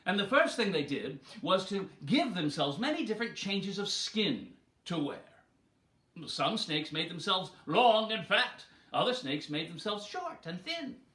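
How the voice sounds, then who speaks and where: A man with a high, bright voice tells a folktale like a stage performer. He takes his time and shapes each phrase dramatically. The sound is roomy and echoing. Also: very animated. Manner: dramatic, deliberate delivery with acted voices. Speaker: storyteller. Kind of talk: theatrical storytelling of an animal folktale.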